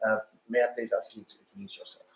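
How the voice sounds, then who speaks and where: A man with a mid-pitched voice hosts a formal webinar. His phrasing is courteous and measured. The room around him is audibly echoey. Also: lively intonation, gravelly, dull audio. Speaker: panel host.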